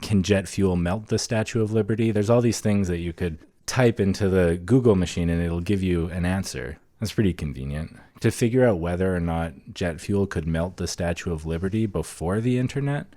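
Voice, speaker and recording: A man co-hosting a podcast in casual comedic chat, riffing in a deadpan way. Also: low-pitched, a little fast, few pauses, no room sound, studio quality. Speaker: podcast co-host.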